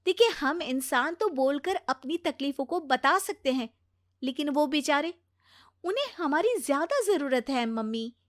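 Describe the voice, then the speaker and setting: A woman acts out a self-important young daughter-in-law in a moral tale. Her voice is very high and lively, with a dismissive, always-busy manner. The sound is dry and close. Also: unhurried, dry recording. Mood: self-righteous.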